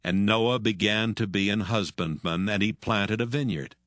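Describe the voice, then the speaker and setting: A man narrating scripture from a script in a measured, solemn manner. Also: fairly low pitch, a bit bright, no echo. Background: music.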